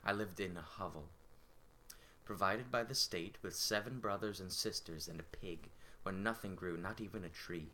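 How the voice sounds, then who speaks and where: A man playing a well-spoken servant in his thirties, bitter and yearning, in a measured, poetic stage monologue. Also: sits low, bright, forceful tone, slight background noise, poor audio. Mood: bitter, brooding. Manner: measured, poetic storytelling delivery.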